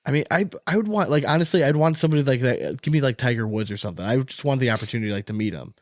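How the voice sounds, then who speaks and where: A man's voice: medium pitch, lively intonation, very fast, no room sound, clean studio sound. A man hosting a casual sports podcast, chatting in a blunt, sweary, slangy way. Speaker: podcast host.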